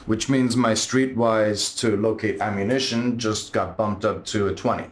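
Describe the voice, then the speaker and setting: A man's voice: lowish voice, steady tone, moderate pace, slight background noise. A man chatting casually as a player in a tabletop role-playing game. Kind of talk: casual in-character game chat. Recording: echoey room.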